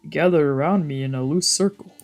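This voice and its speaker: A woman's voice: deep voice, fairly quick, darkish tone, soft background music. A woman VTuber streamer reading visual novel narration aloud in a dramatic storytelling style.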